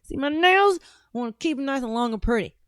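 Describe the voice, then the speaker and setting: A woman's voice: high-pitched, lively intonation, fairly quick, fairly bright tone, dry recording. Blunt, laughing woman host, dry close mic. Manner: blunt, profane, laughs often. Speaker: podcast host taking calls. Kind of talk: casual call-in chat.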